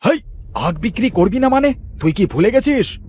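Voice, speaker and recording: A man's voice: high voice, big pitch swings, normal speed, darkish tone, slight background noise. A man playing a hot-headed henchman, eager for violence, in a children's cartoon story. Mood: outraged.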